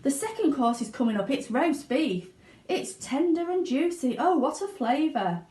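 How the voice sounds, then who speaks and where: Woman voicing a gushing, high-pitched greedy young girl. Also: moderate pace, roomy sound. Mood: gleeful, gushing. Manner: excited, gushing, exclaiming. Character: gleeful, greedy gum-chewing young girl, boastful.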